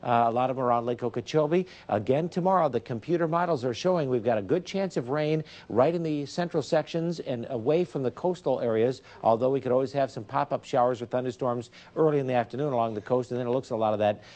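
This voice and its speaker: A man's voice: mid-range voice, quick pace, few pauses, a little mellow. A man giving a loose, ad-libbed local TV weather forecast from a news studio, full of fillers. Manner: loose, chatty delivery full of "uh" and "of course". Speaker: TV meteorologist.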